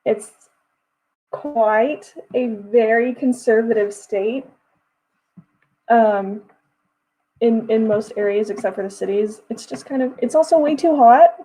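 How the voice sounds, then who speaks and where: A woman's voice: a little high, takes it slowly, long pauses, mellow, a little hiss or hum, rough recording. A woman of twenty-one from Texas, chatting casually with her livestream viewers and answering their messages as they come in. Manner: chatty, reads chat messages aloud and answers them. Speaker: livestream host.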